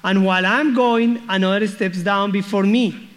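A man's voice: sits high, fairly even intonation, moderate pace, big-room sound. A man with a Spanish accent, a native Spanish speaker, preaching as guest speaker and reading scripture aloud, playfully asking the congregation questions. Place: church hall.

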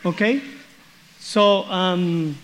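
A man's voice: high voice, drawn-out words, a bit bright, long reverb tail, a little hiss or hum, rough recording. A man with a Spanish accent, a native Spanish speaker, preaching as guest speaker and reading scripture aloud, playfully asking the congregation questions. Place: church hall.

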